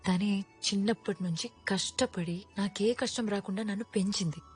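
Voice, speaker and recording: An actress playing a timid but sharp young working woman in naturalistic film dialogue. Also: mid-range voice, fairly quick, soft background music, close to an everyday voice. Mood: earnest. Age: young adult.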